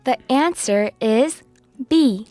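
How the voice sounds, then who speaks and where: A woman's voice: sits high, lively intonation, slow pace. A woman speaking as a guest on a children's radio show, in casual conversation. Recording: music playing behind the voice.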